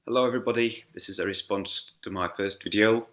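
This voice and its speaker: A man's voice: on the low side, steady tone, fast, a bit bright. A man from the Czech Republic, a native Czech speaker with a Czech accent, presenting a video monologue. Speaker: video creator.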